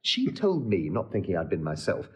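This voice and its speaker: An actor playing a witty, proud bachelor lord in a theatrical, blustering Shakespearean style. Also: male voice, deep voice, wildly swooping pitch, moderate pace, a little mellow. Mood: comic outrage. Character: witty wounded-proud young bachelor lord, railing at a lady. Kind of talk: classical Shakespeare stage acting.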